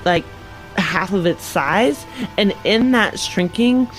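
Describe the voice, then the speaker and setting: A woman playing a tabletop role-playing game, casually and haltingly describing her actions, with music behind her. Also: mid-range voice, expressive, rough recording.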